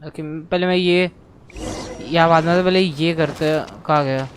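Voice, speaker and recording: Very fast, high-voiced Indian male gamer. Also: slight background noise. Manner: mixes Hindi and English. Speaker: gamer, let's play host. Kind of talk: casual gameplay commentary.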